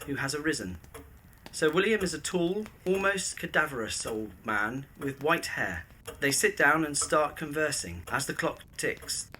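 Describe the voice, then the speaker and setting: Bright-toned male narrator. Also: mid-range voice, a little hiss or hum, rough recording. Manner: descriptive, present-tense stage directions. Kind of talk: scripted audio drama narration.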